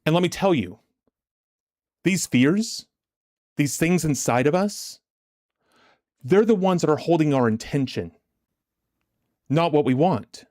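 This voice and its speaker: A man's voice: a little high, lively intonation, darkish tone, dry recording. Chesty man coaching on a dry livestream. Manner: deliberate, emphatic, repetitive rhetorical questions. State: chesty, getting over bronchitis, clearing throat. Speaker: livestream host and trading coach. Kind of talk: motivational talk on a livestream.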